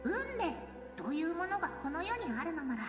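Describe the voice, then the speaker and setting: Brief: kindly young female game guide, high voice, quick delivery, reverberant game scene with music underneath. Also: lively intonation. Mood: gentle. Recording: roomy with long reverb over game music.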